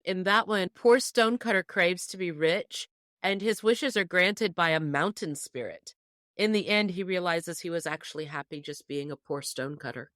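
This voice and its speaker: A woman's voice: fairly low pitch, moderate pace, a bit bright, no room sound, studio quality. A woman podcast host explaining things in an informal discussion.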